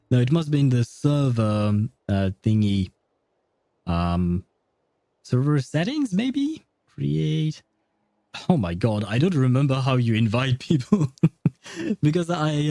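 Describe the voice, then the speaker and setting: A man's voice: mid-range voice, mellow, no room sound. A man streaming a game, reading chat and chatting in a rambling, hesitant way with many fillers and frequent laughs. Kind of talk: livestream gameplay commentary, reading chat.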